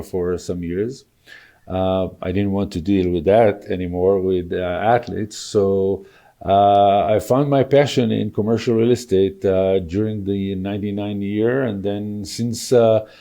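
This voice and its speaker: Older man, dark mellow voice. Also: low voice. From Israel. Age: 60s. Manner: measured, halting with many fillers. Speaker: podcast guest, real estate broker. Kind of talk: casual interview talk.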